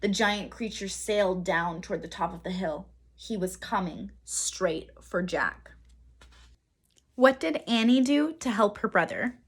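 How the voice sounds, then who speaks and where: A woman reading a children's story aloud as a teacher, with expressive, dramatic emphasis. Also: medium pitch, slightly rough. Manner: dramatic emphasis, pauses to quiz listeners.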